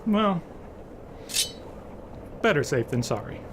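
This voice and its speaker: A man's voice: fairly high pitch, very animated, takes it slowly. A man delivering a scripted, in-character outro. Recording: clearly noisy background.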